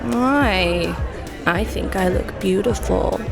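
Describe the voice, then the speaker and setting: A woman's voice: mid-range voice, slow, vocal fry. A woman playing a brash, carefree, drunken party woman in her thirties in an audio drama. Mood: tipsy, flippant. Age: 30s. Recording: loud music and bar crowd behind.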